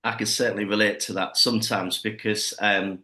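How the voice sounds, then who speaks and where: A man's voice: on the low side, little pitch movement, brisk, sharp tone. A man who is a church minister, hosting a conversational interview in a friendly manner. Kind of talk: hosted interview. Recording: echoey room.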